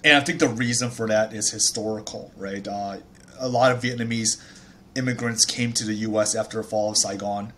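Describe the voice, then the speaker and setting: Relaxed man with a low voice. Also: brisk, slight background noise. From Taiwan. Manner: relaxed, chatty, frequent fillers and restarts. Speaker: startup founder, guest. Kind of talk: casual interview storytelling.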